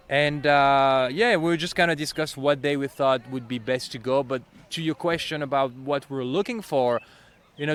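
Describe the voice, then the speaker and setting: A man from Belgium with a Belgian French accent, a TV correspondent chatting in an interview with quick bursts and self-corrections. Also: mid-range voice, clean tone. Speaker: national TV correspondent. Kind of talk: casual interview answers. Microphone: handheld interviewer mic.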